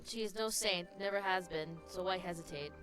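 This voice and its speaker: Female game streamer, somewhat low voice, casual live commentary, reading aloud, clear background music. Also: moderate pace. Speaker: streamer reacting to a video game.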